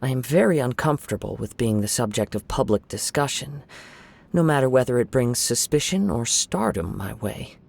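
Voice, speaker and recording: A man playing a calm, courteous young wandering swordsman in a video game, gentle and reflective in formal wording. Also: mid-range voice, moderate pace, creaky. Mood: reflective, reserved.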